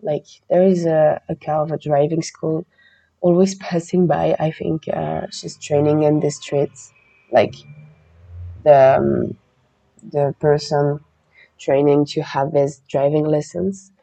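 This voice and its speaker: A woman hosting a podcast, chatting in a relaxed, meandering way and reading a legend aloud. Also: low voice, slow pace, gravelly, low-quality recording. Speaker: podcast host.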